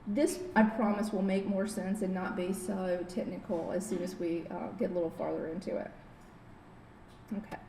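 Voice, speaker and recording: A woman's voice: level tone, normal speed, gravelly, mellow. A middle-aged woman who works as a biofeedback therapist, giving a friendly, informal wellness talk with slides at a podium microphone in a conference room, with many fillers and restarts. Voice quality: says she has a very low voice. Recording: roomy hall, faint noise.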